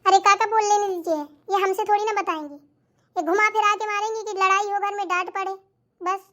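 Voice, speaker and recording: A put-upon young daughter-in-law with an extremely high cartoon voice, played by a woman. She is defensive and cheeky, explaining herself with mild sarcasm as in a family comedy. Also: steady tone, brisk, a bit bright. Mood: exasperated.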